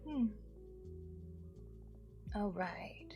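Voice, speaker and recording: A woman live streamer chatting casually to viewers in an expressive, rambling, playful way, sounding a little sleepy. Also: mid-range voice, extremely slow. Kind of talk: casual chatty livestream sign-off. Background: music.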